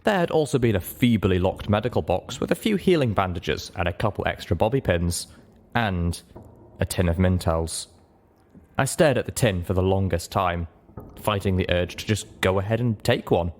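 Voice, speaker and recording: A man with a low voice narrates a story in the first person, reading it as a dramatic audiobook. There is noticeable noise behind his voice. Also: lively intonation, normal speed. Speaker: audiobook reader.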